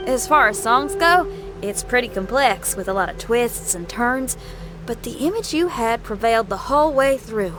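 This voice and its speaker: A woman's voice: high-pitched, fairly bright tone. A woman playing a confident, free-spirited young musician warrior in a video game, bold and folksy in manner. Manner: folksy, bold, plain-spoken.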